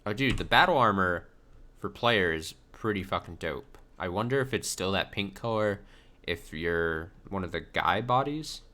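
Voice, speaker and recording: A man's voice: low-pitched, lively intonation, normal speed, bright, forceful tone. A man doing casual, slangy, profane reaction commentary as a game streamer, playful as he goes. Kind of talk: casual reaction commentary on game cutscenes, reading dialogue aloud. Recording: music behind the voice. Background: video game music.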